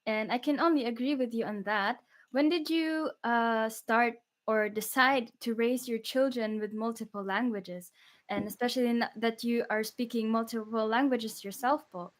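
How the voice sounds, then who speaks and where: Woman radio host, Filipino accent, polite, slightly high voice. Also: normal speed. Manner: polite, encouraging, "po" fillers. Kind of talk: radio interview.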